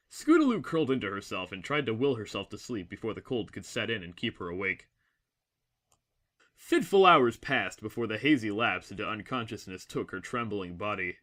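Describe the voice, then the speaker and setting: A man narrates a dramatic story in a fairly low voice with a bright, forceful edge. His delivery is measured, literary and solemn.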